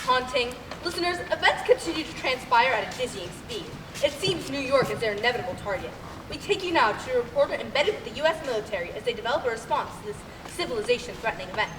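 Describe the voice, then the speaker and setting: A portentous radio anchor played by a young woman, high-voiced and brisk, formal and dramatic. The comic radio play sounds distant, in an echoing hall. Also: animated, lightly acted. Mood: grave, portentous. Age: young adult. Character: solemn, dramatic studio radio news anchor. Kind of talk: comic old-time radio broadcast acting. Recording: distant, echoey hall, noisy background.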